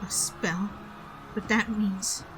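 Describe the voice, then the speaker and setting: A woman's voice: mid-range voice, steady tone, slow, music in the background, lightly acted, poor audio. A woman playing a frightened young female pony police officer in a dramatized fan fiction audio drama. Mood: shocked disbelief.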